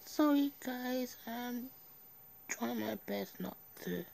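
High-voiced woman with a heavy cold. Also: takes it slowly, gravelly, darkish tone. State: stuffy with a cold. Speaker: gameplay commentator. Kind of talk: casual gameplay commentary. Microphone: phone screen recorder mic.

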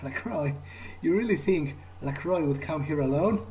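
A man's voice: a little high, normal speed, dark tone. A man doing in-character game commentary, wryly thinking aloud as he plays. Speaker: gamer playing in character. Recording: clearly noisy, game ambience behind.

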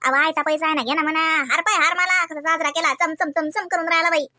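A woman voicing gossipy, excitable village housewives in a comedy cartoon, exclaiming and teasing in rustic Varhadi Marathi. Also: very high-pitched, fast, full character voice. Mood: astonished, mocking. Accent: Varhadi Marathi from Vidarbha. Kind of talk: colloquial comic cartoon dialogue.

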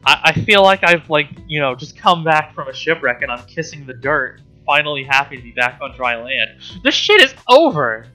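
A man's voice: a little high, sing-song, moderate pace, few pauses, bright, forceful tone, faint music behind. A man reading aloud and commenting off the cuff as a host, sarcastic and incredulous, with exasperated, profane asides.

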